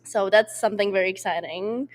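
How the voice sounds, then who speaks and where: A woman's voice: mid-range voice, brisk, slight background noise. A woman from Slovakia, a friendly ice show performer answering interview questions and laughing easily. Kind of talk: interview guest.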